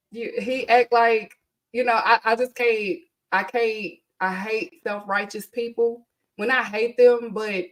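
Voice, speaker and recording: Chatty woman podcast host, stuffy-voiced. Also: medium pitch. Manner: chatty, rambling, frequent ums and asides. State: congested from allergies. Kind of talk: casual solo podcast chat.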